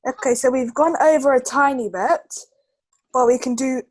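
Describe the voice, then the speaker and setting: High-pitched, encouraging woman tutor. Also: normal speed. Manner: encouraging, reassuring. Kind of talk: one-on-one tutoring with a child.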